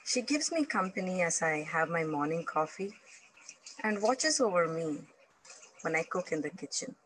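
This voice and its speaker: A woman in her thirties telling a reflective personal story to camera in measured, deliberate phrases, recorded from a distance. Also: fairly low pitch, expressive, fairly bright tone. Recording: roomy, distant microphone via video playback.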